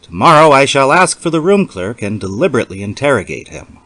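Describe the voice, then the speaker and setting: A man's voice: medium pitch, big pitch swings, fast, bright, forceful tone. A man playing a lonely, ailing bachelor professor who narrates an old horror story in a formal, precise, brooding way. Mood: intrigued, irked. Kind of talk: dramatic reading of a 1930s horror story.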